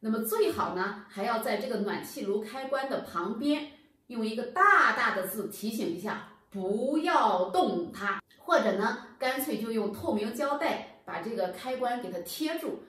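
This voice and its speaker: A woman's voice: a little high, expressive, moderate pace, a bit bright. A woman in her thirties giving a patient, step-by-step home-heating lesson, speaking straight to viewers. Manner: patient, explanatory, addresses viewers directly. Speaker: HVAC channel presenter. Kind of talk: instructional explainer. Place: plain indoor room against a bare wall. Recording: very echoey room, voice from far off.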